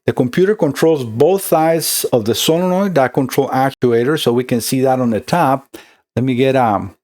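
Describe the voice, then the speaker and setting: A middle-aged man with a Costa Rican Spanish accent talks through an electronics repair step by step. His delivery is conversational and full of fillers and restarts, set at an average pitch for a man. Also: normal speed, a little mellow. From Costa Rica. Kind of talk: explaining a repair walkthrough.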